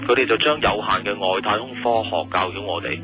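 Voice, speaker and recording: A patient scientist from the future in a radio play, played by a man. He speaks gentle, reassuring Cantonese with a Hong Kong accent in a fairly low voice. Music plays clearly behind him. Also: fairly quick, lightly acted, rough recording. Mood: consoling. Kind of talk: radio drama acting.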